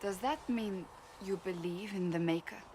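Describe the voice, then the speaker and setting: A woman's voice: mid-range voice, normal speed, lightly acted. An actress playing a stern, devout female warrior in a video game, speaking formally and with grave deliberation. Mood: brooding, earnest. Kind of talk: video game dialogue acting. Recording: noisy game ambience behind the voice.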